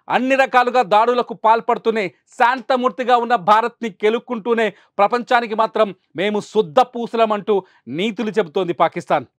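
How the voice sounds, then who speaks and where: A male news presenter speaks in a high-pitched voice. He delivers emphatic, rhetorical commentary and repeats phrases to drive points home. The sound is very dry and close. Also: fairly bright tone, no room sound.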